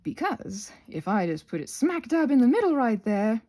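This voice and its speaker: A woman hosting a casual craft tutorial, thinking aloud in a scattered, self-interrupting way with playful, expressive exclamations. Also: a little high, normal speed. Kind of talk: rambling casual craft narration.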